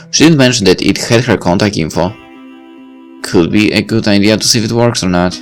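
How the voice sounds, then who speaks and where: Deep-voiced man reading a visual novel. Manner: relaxed, casual, with occasional slips and restarts. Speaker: let's play host reading a visual novel. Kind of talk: reading game text aloud with commentary.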